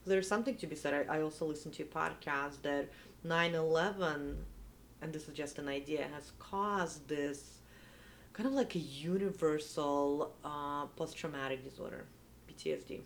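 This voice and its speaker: A woman's voice: sits low, normal speed, a little hiss or hum. A woman talking casually and earnestly in a conversation, reflective and often checking in with the listener. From Estonia, Eastern Europe. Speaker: interview guest, event organiser.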